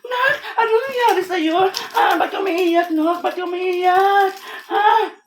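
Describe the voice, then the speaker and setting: A woman's voice: medium pitch, a little slow, fairly bright tone, close to an everyday voice. Woman acting a fussing mother in an echoey room. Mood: alarmed, worried. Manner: fussing, repeated questions and reassurances. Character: concerned, gently scolding, consoling mother. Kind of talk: amateur solo monologue acting. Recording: roomy, distant microphone, faint noise and clicks.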